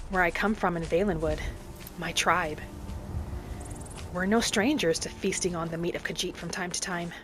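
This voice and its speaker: A woman playing a gentle, matter-of-fact wood elf wanderer, musing aloud in measured, short phrases as in-character game roleplay. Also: on the low side, slight background noise, lightly acted. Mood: reflective. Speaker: roleplay gamer narrating in character. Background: background music.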